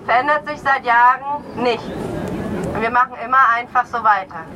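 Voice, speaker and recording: A middle-aged woman speaking earnestly and deliberately as an activist, delivering a prepared speech at an outdoor rally. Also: fairly high pitch, a loud crowd. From Cologne area, Germany. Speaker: climate activist giving a speech. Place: outdoors in an urban area. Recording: clear outdoor street and traffic noise.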